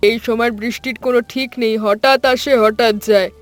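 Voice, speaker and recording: A man's voice: very high-pitched, steady tone, fairly quick. A man voicing a chatty, neighbourly woman shopkeeper in a cartoon story. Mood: matter-of-fact warning.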